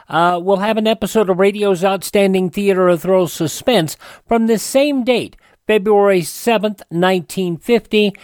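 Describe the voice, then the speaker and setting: A man's voice: high voice, a little mellow, no echo. Male radio host, very dry studio sound. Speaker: classic radio show host. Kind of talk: informal radio hosting.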